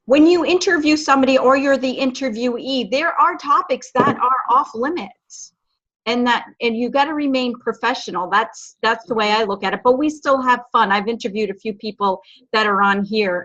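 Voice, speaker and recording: A woman's voice: fairly high pitch, a bit bright. Earnest woman on dull call audio. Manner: earnest, halting starts. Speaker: podcast interviewer. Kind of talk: casual video call chat. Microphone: video call.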